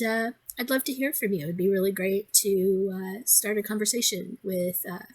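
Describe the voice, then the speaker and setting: A woman with a hoarse, congested voice, chatting casually in a crafting vlog, rambling with many ums and restarts. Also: mid-range voice. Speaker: crafting vlogger.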